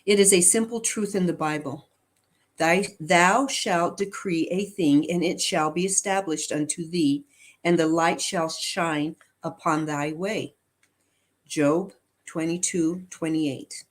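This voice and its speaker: A woman giving an inspirational reading aloud to a congregation at a spiritual service, with deliberate, measured phrasing. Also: on the low side.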